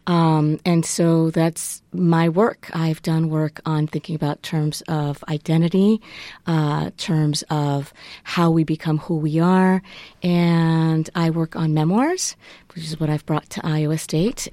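A woman's voice: deep voice, fairly even intonation, unhurried, warm tone, dry recording. A woman professor raised in the northeastern United States answers interview questions as a studio guest. She speaks thoughtfully and explains at length, with frequent ums.

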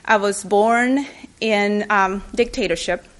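A woman's voice: mid-range voice, takes it slowly. Woman, keynote speaker, nervous and chatty, restarts a lot, middle voice. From Chile. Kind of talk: personal speech to an audience. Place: public library event.

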